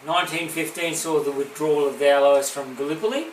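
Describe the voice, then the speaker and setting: A man's voice: a little high, fairly even intonation, quick pace. A man co-hosting a casual comedy podcast, joking, punning and laughing often. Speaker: podcast co-host. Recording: echoey room, distant mic, faint hiss.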